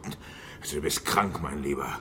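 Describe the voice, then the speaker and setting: An actor playing a gruff, dismissive chairman of local traders in a radio crime drama, scornful and evasive. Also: a bass voice, a little fast, bright, forceful tone, slight background noise.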